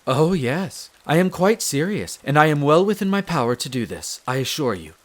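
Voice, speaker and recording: A man's voice: a little high, big pitch swings, normal speed. A man playing a courteous, devoted head servant of a vampire castle in a roleplay audio drama, speaking with formal, polite diction. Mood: earnest, reassuring. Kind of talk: scripted roleplay audio drama, addressing the listener.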